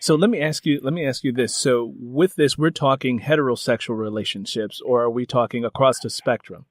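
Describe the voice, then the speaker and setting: A man hosting an interview podcast, speaking in drawn-out, emphatic phrases. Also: mid-range voice, dry recording, clean studio sound.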